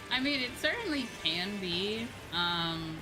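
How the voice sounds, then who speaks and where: A woman's voice: mid-range voice, very animated, a little slow, rough recording. A woman livestreamer chatting casually while gaming, in an informal, conversational way with emphatic repetition. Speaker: livestreamer, VTuber. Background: background music.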